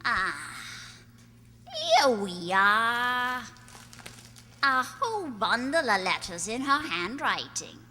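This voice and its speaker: A woman's voice: fairly high pitch, huge pitch swings, sharp tone, exaggerated voice. An actress playing a shady, gloating woman selling secret letters, drawling slyly in a stagey radio play. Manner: slow, drawling, sly and cackling. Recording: roomy hall echo with noise.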